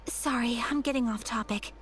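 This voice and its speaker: A refined young noblewoman from a video game, played by an actress. The voice is fairly high, formal and measured, gracious and modest, with faint noise behind it. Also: fairly bright tone, lightly acted. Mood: earnest, modest. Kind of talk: video game character dialogue. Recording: faintly noisy game stream capture.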